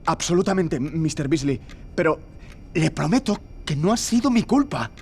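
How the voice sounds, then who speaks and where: Husky, high-voiced anxious student. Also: male voice, big pitch swings, moderate pace, darkish tone, heavily acted. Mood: anxious pleading. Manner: nervous, deferential. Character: anxious, pleading male university student.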